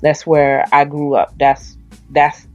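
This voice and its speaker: A woman's voice: low-pitched, monotone, slow pace, lo-fi sound, faint music behind. A young woman from the South, an author and poet, answering interview questions with a hesitant manner and many fillers. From Southern US (Alabama).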